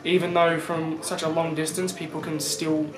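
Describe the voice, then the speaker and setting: A man's voice: fairly high pitch, flat delivery, moderate pace. A teenage Australian male youth participant recounting a group trip in an interview-style narration. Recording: echoey room with music behind.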